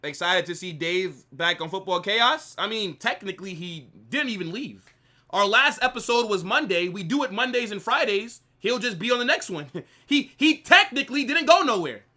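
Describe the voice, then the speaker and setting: A man hosts a live football watch-along, talking casually and answering his chat. His voice sits high and sounds bright and sharp, swinging up and down as he reacts. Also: normal speed. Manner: animated banter, reading chat aloud. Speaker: live stream host.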